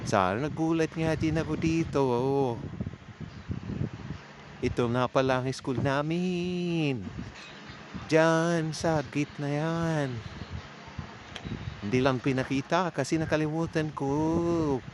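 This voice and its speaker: A man with a Filipino accent narrating a vlog in a casual, chatty way, speaking straight to his viewers. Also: on the high side. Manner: informal, chatty, addresses viewers. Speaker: vlogger. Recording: clearly noisy amateur recording.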